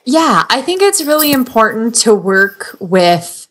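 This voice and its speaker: A woman's voice: on the high side, big pitch swings, unhurried, a bit bright. A woman from Canada, a blogger guest on a podcast, giving upbeat, earnest advice with frequent "like"s. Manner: upbeat, earnest, uses "like" often. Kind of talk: conversational advice.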